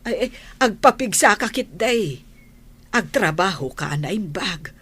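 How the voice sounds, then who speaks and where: A woman acting a concerned, pleading middle-aged mother with a husky voice in an Ilocano radio drama. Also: mid-range voice, lively intonation, unhurried, fairly bright tone, exaggerated voice. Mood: urging, consoling.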